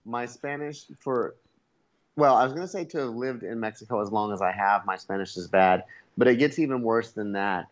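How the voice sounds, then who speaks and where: A man's voice: mid-range voice, normal speed, dull audio. A man on a remote call as a podcast guest who blogs about food, chatting casually and laughing at himself. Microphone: Skype call.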